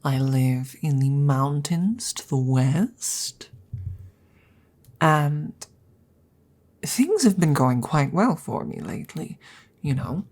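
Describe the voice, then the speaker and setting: Woman as a hushed, low, breathy, courteous dragoness. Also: animated, slow, long pauses, full character voice. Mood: courteous, hopeful. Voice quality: breathy, soft, often slipping into whisper. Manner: formal, courteous, hesitant with flustered stammers. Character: polite, awkward, earnest female dragon seeking a princess. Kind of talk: intimate ASMR roleplay, partly whispered.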